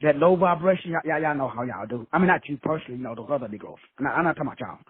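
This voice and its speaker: Husky man preaching over the phone. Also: fairly high pitch, lively intonation, racing, dry recording. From Texas. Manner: swaggering, preachy, peppered with profanity and slang. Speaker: host of a call-in show. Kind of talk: profane motivational preaching. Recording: tinny phone line, dry.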